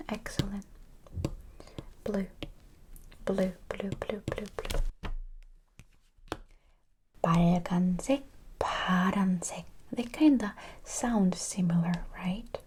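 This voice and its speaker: A woman gives an ASMR-style language lesson in a breathy whisper. She goes very slowly and encouragingly, breaking words into syllables and repeating them, with faint noise and small clicks in the recording. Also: mid-range voice, darkish tone. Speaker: Korean language teacher.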